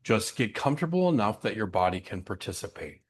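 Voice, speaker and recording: A man guiding a breathing meditation in a gentle, unhurried way, leaving long pauses between short instructions. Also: fairly low pitch, big pitch swings, breathy edge. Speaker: meditation guide.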